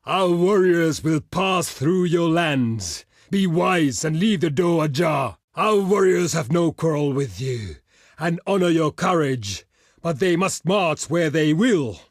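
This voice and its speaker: Slow, solemn barbarian envoy. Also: male voice, fairly high pitch, lively intonation, no room sound, clean studio sound. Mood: proud. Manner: solemn, formal, declamatory, measured delivery. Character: proud, stern male barbarian envoy of a warrior people. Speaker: diplomat character in a strategy game. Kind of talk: theatrical video game voice lines.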